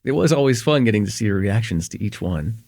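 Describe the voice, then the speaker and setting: A man's voice: fairly low pitch, lively intonation, fast talker, gravelly, darkish tone. A young man playing a friendly, forgetful host in a slice-of-life ASMR roleplay, chatting casually and hesitantly with a close friend at home. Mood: warm, chatty. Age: young adult. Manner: hesitant, rambling, chuckling, easygoing.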